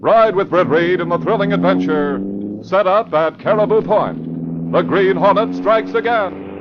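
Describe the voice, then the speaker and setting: A man's voice: sits high, big pitch swings, a little slow, background noise. A man narrating as announcer of a 1950s radio drama, with emphatic, theatrical delivery. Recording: dull old radio broadcast recording.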